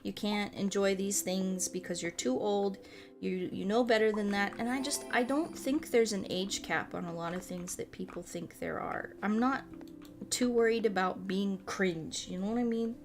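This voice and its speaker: A woman in her late twenties chatting informally as a livestream host, rambling and animated, with loose, sweary language. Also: mid-range voice, normal speed, faint music behind. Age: late 20s. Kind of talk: casual chat while playing a game, reading and answering chat.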